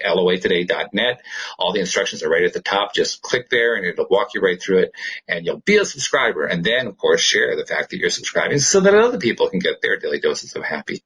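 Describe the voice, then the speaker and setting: A man with a fairly low, very husky voice hosts a chatty podcast. His intonation moves up and down a lot, and he sounds upbeat and enthusiastic as he talks casually to his listeners and co-host. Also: a bit bright, poor audio.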